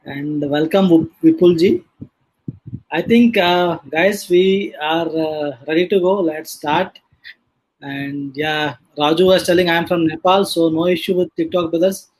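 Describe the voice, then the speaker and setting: The slightly high-voiced man opening a webinar, feeling his way through guest introductions with frequent ums and pauses. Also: poor audio. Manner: hesitant, halting delivery with many filler sounds. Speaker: host of a live online panel session. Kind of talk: casual live introduction of guests. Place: online live video session.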